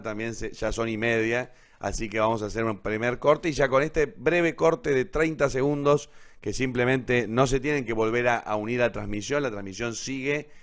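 Rambling Argentine man hosting live. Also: mid-range voice. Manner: rambling, halting, self-correcting. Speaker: host of an online radio theatre show. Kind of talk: casual live show hosting.

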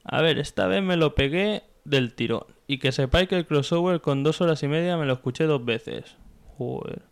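Brief: male podcast co-host, Catalan accent, jokey banter, slightly higher voice. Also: normal speed, gravelly, smooth. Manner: jokey, laughs often.

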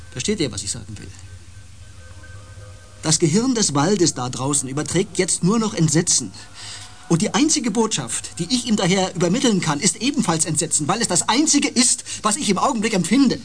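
An actor in a German radio play, playing a tormented, over-sensitive empath on a space expedition, intense and halting, moving between agitation and hushed awe. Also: male voice, fairly high pitch, lightly acted. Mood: agitated, desperate. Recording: noisy with ambient sound.

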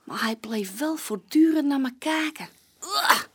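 An actress playing a young, plain-spoken barmaid giving witness testimony in a chatty, colloquial way in a radio play. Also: female voice, high-pitched, animated, measured pace. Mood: disgusted. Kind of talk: naturalistic radio play dialogue.

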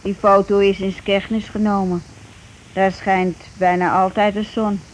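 A woman's voice: mid-range voice, level tone, a little slow, natural voice. An actress in a radio play playing a nervous, hesitant wife and mother who falters and repeats her words uneasily. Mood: wistful. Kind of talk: naturalistic radio play acting. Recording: noisy old radio play recording.

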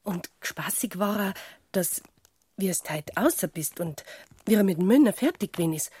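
A woman's voice: medium pitch, expressive, normal speed, a little mellow, slightly rough, dry recording. An actress playing a chatty, teasing young woman with a Bavarian accent in a radio crime drama. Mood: gossipy. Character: chatty, teasing, down-to-earth young sweetheart of a policeman.

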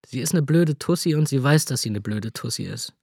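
A man's voice: mid-range voice, expressive, fairly quick, vocal fry, a little mellow, breathy edge, no room sound, studio quality. Man with a sardonic, scornful inner voice. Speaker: writer narrating in first person. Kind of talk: radio drama monologue.

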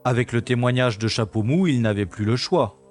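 Brisk male special agent, played by an actor, informal and wry, echoing space with music behind. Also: mid-range voice, a little mellow, lightly acted. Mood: relaxed, curt. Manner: terse, informal, questioning. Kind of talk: audio drama acting. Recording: echoey reverb with music.

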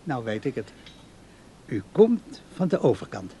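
A mellow-voiced lonely old widower in a radio play. He speaks haltingly yet keeps gently pressing, over faint background noise. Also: mid-range voice, sing-song. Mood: hesitant, insistent. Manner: halting, searching for words. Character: lonely, hesitant old widowed grandfather, awkwardly insistent. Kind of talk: Dutch radio play acting.

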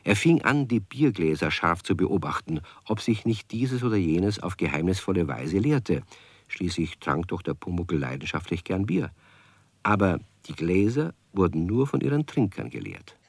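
A man narrating a children's radio play as a calm storyteller with wry humour. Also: sits low, normal speed, slightly rough, no room sound, heavily acted. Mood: wry, measured.

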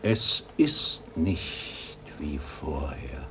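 A man's voice: rumbling low, lively intonation, drawn-out words, a bit bright, whispered, exaggerated voice. A man playing a troubled old grandfather in a German radio play, hesitant and wistful, longing for a world he remembers. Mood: shaken.

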